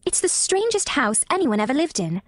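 A woman's voice: sits high, sing-song, moderate pace, dry recording, pitched above the performer's own voice. A woman voicing an earnest, eager and curious little girl in a storybook reading. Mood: curious, insistent.

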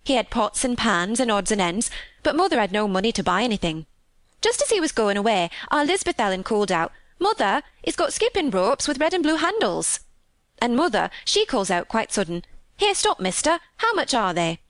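A woman's voice: medium pitch, very animated, fairly quick, dry recording, lightly acted. A woman voicing a cheerful young Yorkshire housemaid who chatters eagerly in folksy dialect for a storybook. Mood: proud, eager.

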